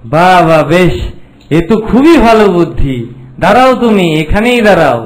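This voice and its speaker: Echoey, high-voiced male actor as a greedy village landlord, smug and easily fooled, for a folk-tale cartoon. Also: big pitch swings, moderate pace. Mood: gloating. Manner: self-satisfied, exclaiming, musing aloud. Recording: echoey room sound.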